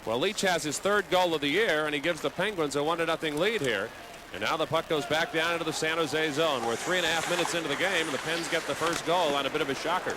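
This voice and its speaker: A man's voice: fairly high pitch, fast talker. A man doing live play-by-play hockey commentary, describing the action in a fluent running call. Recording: loud arena noise behind. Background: loud hockey arena ambience.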